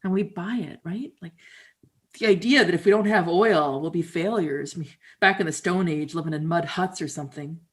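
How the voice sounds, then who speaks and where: A woman with a somewhat low voice gives a dramatic reading. She tells her story in an unhurried, reflective way, and the pacing is measured for performance. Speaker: storyteller and musician. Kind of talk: dramatic reading of documentary theater.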